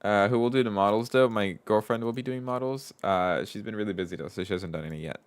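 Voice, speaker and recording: A man with a stuffed-up nose and a lowish, smooth voice chats through a live coding stream. He reads viewers' messages aloud and talks himself through his code, trailing off and starting over as he goes. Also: fast. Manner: thinks aloud, reads chat aloud, trails off and restarts. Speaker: streamer and game developer. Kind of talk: casual live-stream chat while coding.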